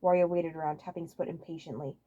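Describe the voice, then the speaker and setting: A woman's voice: deep voice, fairly even intonation, fast, dark tone, highs cut off. A woman reading fan fiction aloud as a scripted story narrator on a home microphone.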